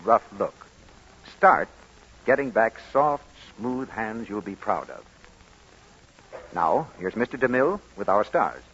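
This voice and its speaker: Forceful American radio announcer, old muffled recording. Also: male voice, mid-range voice. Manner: emphatic, polished. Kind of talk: 1940s radio advertising announcer, scripted. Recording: muffled, raspy 1940s radio broadcast recording.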